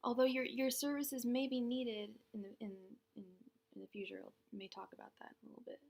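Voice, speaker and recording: This is a young woman hosting a casual tarot reading livestream. Her voice sits slightly high and has a gravelly fry to it. She talks fast and chatty, with frequent laughs and greetings to her viewers. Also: darkish tone.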